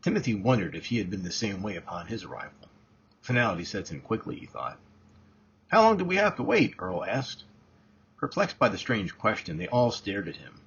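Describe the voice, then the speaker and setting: Guy reading an audiobook, really raspy, kinda muffled lo-fi sound. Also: low voice, lively intonation, fairly quick, fairly bright tone. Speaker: author reading own novel excerpt. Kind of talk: scripted audiobook narration. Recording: dull, muffled lo-fi recording.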